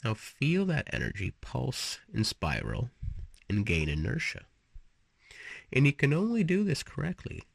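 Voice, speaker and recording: Guy doing guided meditation, slow and soothing, husky voice that moves up and down a lot, really dry close sound. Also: medium pitch, wildly swooping pitch, no room sound. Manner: slow, soothing, instructive delivery with pauses. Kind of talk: guided relaxation meditation with storytelling.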